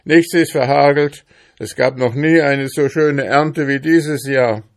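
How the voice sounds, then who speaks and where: A man's voice: fairly high pitch, unhurried, voice pushed up. A man voicing a grumpy, stingy male hamster farmer in a storybook, blustering and grumbling indignantly.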